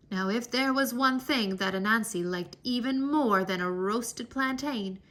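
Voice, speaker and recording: A woman reading a children's story aloud with expressive, dramatic storytelling. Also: a little high. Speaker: children's read-aloud narrator.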